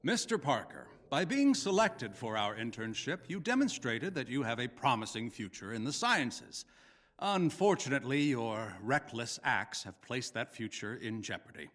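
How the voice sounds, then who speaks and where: An actor playing a bitter, vengeful older scientist in a video game, speaking with cold, formal contempt. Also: male voice, mid-range voice, very animated, fairly bright tone, long reverb tail. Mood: stern, official. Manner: contemptuous, formal, deliberate menace. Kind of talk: dramatic video game voice acting.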